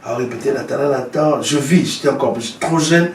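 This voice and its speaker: A man's voice: mid-range voice, fairly quick, slight background noise. A man from Congo with a Congolese French accent, talking animatedly as an interview guest, often repeating himself. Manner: animated, repetitive, switches between French and Lingala. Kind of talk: casual interview talk. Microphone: studio.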